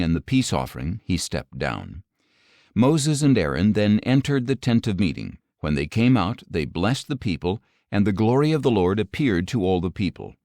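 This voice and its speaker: A man with a fairly low voice narrates scripture in a scripted audiobook style. His reading is measured and solemn. The sound is close and dry, with very little room around the voice. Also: darkish tone, no room sound.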